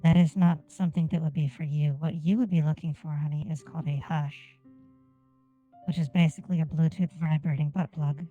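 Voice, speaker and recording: A woman whose first language is Japanese, chatting on a game stream and enunciating each word deliberately into a desk microphone. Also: sits low, steady tone, warm tone, muffled recording, soft background music. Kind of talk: casual gameplay stream chat. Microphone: desk microphone on an arm.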